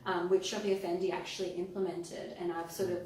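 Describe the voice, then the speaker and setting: A woman with a Persian Australian accent explains her books earnestly, pausing with ums. She is recorded in a very echoey room from a distant mic with faint noise underneath. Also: lowish voice, little pitch movement, dark tone. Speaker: guest, children's book author and pharmacist. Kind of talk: interview answers.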